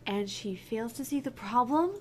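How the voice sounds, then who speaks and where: A woman voicing a dry, skeptical young female bartender in a video game, reserved and deadpan. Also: on the high side, normal speed, lightly acted. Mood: deadpan, puzzled. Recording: clear background noise.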